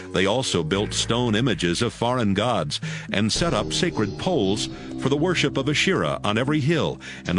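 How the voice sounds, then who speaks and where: A man narrating a dramatized audio Bible as a scripted storyteller. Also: mid-range voice. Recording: music under the voice.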